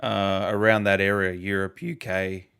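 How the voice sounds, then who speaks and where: A man with a low voice hosts a casual gaming interview. He keeps it easygoing, saying "I reckon" and asking follow-up questions. The chat sounds like it runs over a call. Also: flat delivery, measured pace, vocal fry, fairly bright tone, clean tone. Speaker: gaming channel host.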